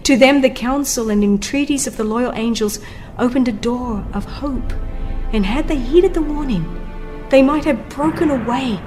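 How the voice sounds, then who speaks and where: A woman's voice: high-pitched, moderate pace, darkish tone. A woman narrating a religious audiobook in a solemn, formal, dramatic scripted delivery. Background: music under the voice.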